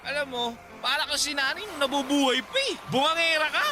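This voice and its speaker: A man's voice: very high-pitched, brisk, very noisy. A man acting as a hot-tempered, aggressive older brother in a radio drama. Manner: loud, aggressive.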